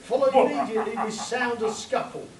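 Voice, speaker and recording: A man's voice: very high voice, steady tone, fairly quick, crowd noise. A man reading sound effect cues and stage directions aloud in a spoof radio play performed live. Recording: echoey room, distant mic, audience noise.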